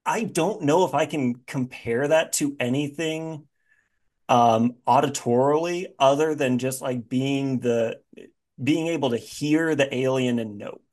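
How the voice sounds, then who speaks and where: Informal male podcast host, medium pitch. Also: unhurried, darkish tone. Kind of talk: casual podcast chat.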